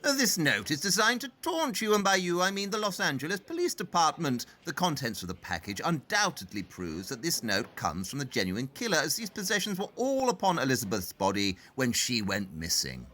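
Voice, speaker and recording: An actor playing a brilliant, haughty detective in an audio play, speaking in a pompous, deliberate and condescending manner. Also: male voice, on the high side, huge pitch swings, bright, forceful tone, heavily acted. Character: brilliant, haughty, dismissive male master detective. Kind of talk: theatrical audio play acting.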